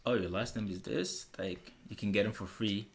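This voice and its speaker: A man's voice: lowish voice, fairly even intonation, moderate pace, creaky. A young man hosting a casual podcast, asking questions and nudging his guest along. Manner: easygoing, prompts with questions and "mm-hmm". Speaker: podcast host. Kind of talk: casual interview chat.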